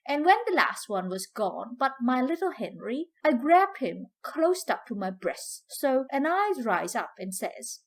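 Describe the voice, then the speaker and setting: Casting: female reader as older Southern US freedwoman, higher-pitched, unhurried, proud and fiery, emphatic dramatic storybook dialect. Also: sing-song, exaggerated voice. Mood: grieving.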